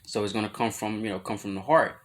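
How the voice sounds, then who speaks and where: A man's voice: steady tone, quick pace. An adult man, talking casually to his viewers in a loose, rambling way, full of fillers and restarts, rising in volume when he drives a point home. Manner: grows louder and higher when making a point. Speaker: vlogger. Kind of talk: casual talk to camera.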